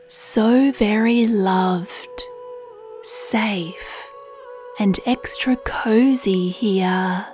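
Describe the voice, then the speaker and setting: A woman gently narrating a children's sleep meditation story in a soothing, hushed, breathy voice. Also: medium pitch. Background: soft music.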